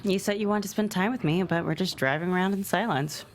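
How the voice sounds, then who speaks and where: Caring daughter, read by an actress, low and gravelly, animated, slight background hiss. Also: female voice, quick pace, lightly acted. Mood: pleading. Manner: earnest, pleading. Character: earnest caring adult daughter Allie. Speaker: actor in a table read.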